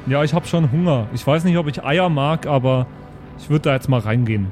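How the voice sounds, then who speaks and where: A man's voice: mid-range voice, a little fast, darkish tone, soft background music, close to an everyday voice. A man playing an eager, naive son who chatters insistently at his papa in an improvised tabletop game.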